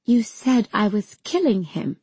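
A woman voicing a cold, vengeful villainess in an audiobook, speaking with calm, soothing, taunting menace. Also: medium pitch, measured pace, slightly rough, dry recording, exaggerated voice.

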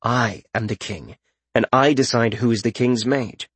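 A man voicing an unflappable warrior king in an audiobook, calm, clipped and matter-of-fact. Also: medium pitch, unhurried, slightly rough, no echo. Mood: calm authority. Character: cool, commanding male warrior king, unflappable.

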